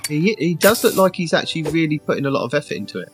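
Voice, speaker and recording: A man's voice: fairly high pitch, very animated, very fast, music in the background. A man hosting a comedy panel game as assistant and timekeeper, playful and teasing. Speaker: host acting as assistant and timekeeper.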